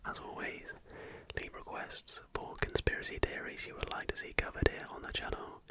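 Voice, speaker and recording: A man narrating in an unvoiced, breathy whisper as the softly spoken host of an ASMR channel. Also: moderate pace.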